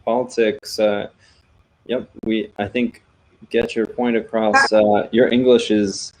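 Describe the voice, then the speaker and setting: A man's voice: fairly low pitch, level tone, slow pace, slight background noise, rough recording. A man co-hosting a casual live chat, friendly and welcoming to guests. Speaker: co-host.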